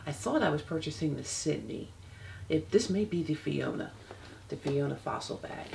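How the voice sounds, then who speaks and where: Woman, 50s, soft-spoken, low voice, slow halting delivery, hissy background. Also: lively intonation, gravelly. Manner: unhurried, halting, many fillers. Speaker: handbag vlogger. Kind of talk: casual product demo. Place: bedroom, seated on a bed. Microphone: external camera mic.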